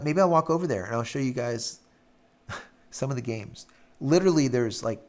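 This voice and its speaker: A man with a raspy voice hosting a live stream, casually chatting and reading out viewer comments, rambling with lots of fillers. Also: mid-range voice. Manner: rambling, filler-heavy, hums while scrolling.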